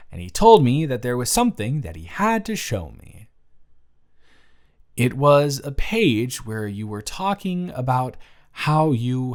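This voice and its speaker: A man playing an earnest, easily flustered young man in love, in a conversational, emotive romantic audio roleplay addressed to the listener. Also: medium pitch. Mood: tense, guarded. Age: young adult. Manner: conversational, emotive, swinging from hushed to exasperated.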